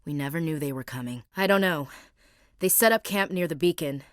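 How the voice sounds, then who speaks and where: A woman's voice: low-pitched, a little fast, no room sound, clean studio sound, natural voice. An actress playing a tough, blunt young female marine in a video game, speaking in a direct, clipped soldierly manner. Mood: steady. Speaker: game character.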